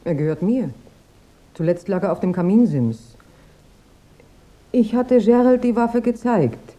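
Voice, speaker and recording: Very dark-toned, guarded femme fatale. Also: female voice, medium pitch, animated, a little slow. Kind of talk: German radio crime drama acting.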